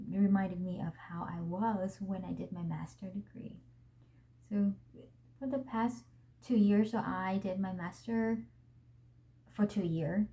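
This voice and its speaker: A young woman speaks with a Vietnamese accent in an unhurried, deliberate rhythm. Her voice is soft and mellow, and her manner is gentle and earnest as she thinks aloud to her viewers. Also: medium pitch. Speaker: vlog host. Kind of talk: reflective talk to camera.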